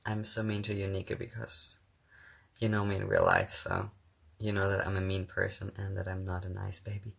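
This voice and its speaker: A young man from Germany, his first language German, speaks English in an accent he calls a mix of everything. His voice sits low with a gravelly fry, and he talks in a soft, giggly, hesitant way full of fillers. It is picked up by a phone during a live stream. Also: lively intonation, normal speed. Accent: mixed English, not German-sounding. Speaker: live streamer reading viewer comments. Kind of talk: casual live-stream chat.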